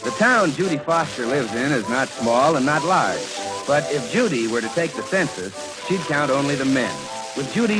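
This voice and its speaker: Male radio announcer, 1940s: animated, polished sales pitch and narration, music underneath. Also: low-quality recording.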